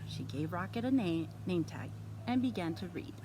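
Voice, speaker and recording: A woman reading a children's story aloud expressively, with deliberate, teacherly phrasing. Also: very animated. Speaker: storytime reader. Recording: clear background noise, vehicle rumble. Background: background noise.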